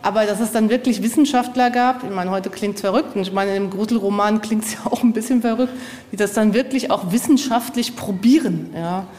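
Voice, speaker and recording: A woman's voice: medium pitch, fairly quick, a little mellow. A woman speaking as a guest author in casual discussion, enthusiastic and often tagging her sentences with a questioning "ja?". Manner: enthusiastic, tag-question "ja?" endings. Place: historic castle site. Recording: long reverberant hall.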